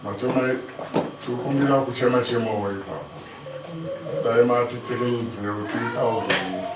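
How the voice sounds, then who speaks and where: A man's voice: medium pitch, fairly even intonation, a little fast. A man with a Zimbabwean accent bargaining in a pleading, deferential way in a dramatized ceremonial negotiation. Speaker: negotiator for the groom's side in a dramatized bride price ceremony. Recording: distant, echoey, very noisy room.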